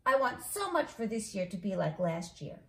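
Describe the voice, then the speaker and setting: A woman reading a children's story aloud in an expressive storytelling style, acting out the dialogue. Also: medium pitch, normal speed. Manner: animated, acts out dialogue. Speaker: read-along host, storyteller. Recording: echoey room.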